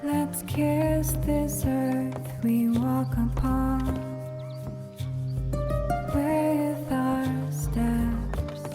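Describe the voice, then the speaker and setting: A woman singing in a gentle, lullaby-like style. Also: high voice, steady tone, drawn-out words, long pauses, music in the background.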